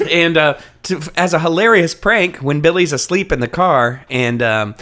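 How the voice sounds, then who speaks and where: A man's voice: a little high, measured pace, fairly bright tone. A man hosting a podcast, chatting casually and reading book excerpts aloud in an irreverent, profane way. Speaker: podcast host.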